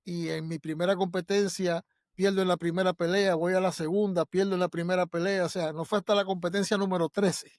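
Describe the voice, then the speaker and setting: A man's voice: high voice, level tone, brisk, no room sound, clean studio sound. A Puerto Rican man in his fifties, an interview guest telling personal stories conversationally and voicing the dialogue he quotes. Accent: Puerto Rican Spanish. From Puerto Rico. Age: 50s. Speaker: interview guest, martial arts master.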